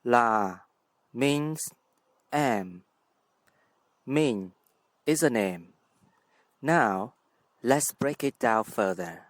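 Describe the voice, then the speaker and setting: A man with a Vietnamese accent talks slowly and deliberately, like a teacher walking through each word. His voice sits at an ordinary middle pitch and sounds close and dry, with no room around it. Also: lively intonation, no room sound. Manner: careful, deliberate, spells out words. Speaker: language teacher. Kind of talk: scripted language lesson.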